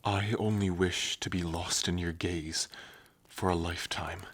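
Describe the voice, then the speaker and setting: An earnest young dragon heir with a deep, low voice, played by a man. He speaks softly and sincerely in a romantic audio drama, recorded dry and close. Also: steady tone, measured pace, husky, no echo. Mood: tender. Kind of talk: ASMR roleplay.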